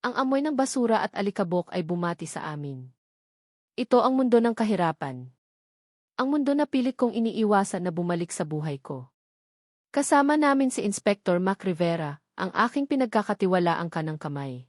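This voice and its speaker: A woman's voice: medium pitch, big pitch swings, brisk, darkish tone, no echo. Woman narrating a dramatic story, dry sound. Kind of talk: scripted first-person drama narration.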